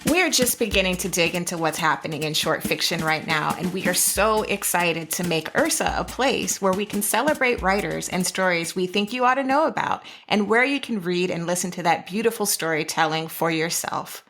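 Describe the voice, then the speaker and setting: A woman's voice: lowish voice, animated, normal speed, hardly any pauses, fairly bright tone, soft background music. An American woman co-hosting a podcast, talking about books in an effusive, emphatic way. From Jacksonville, Florida, USA. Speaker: podcast co-host. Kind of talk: casual literary chat.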